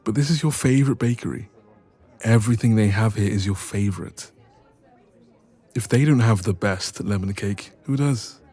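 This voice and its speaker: Playful young male best friend, played by a man, romantic audio roleplay: mellow, slightly deep, breathy, intimate and chatty, faint music underneath. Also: moderate pace. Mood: playful disbelief. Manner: playful, teasing, expressive, soft laughs.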